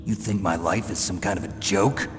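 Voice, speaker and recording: Low, gruff soldier, acted by a man. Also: moderate pace, natural voice. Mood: defiant, suspicious. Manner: terse, sardonic, guarded. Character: gruff, cynical male retired special forces soldier. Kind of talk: dramatic video game voice acting. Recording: long hall-like reverb.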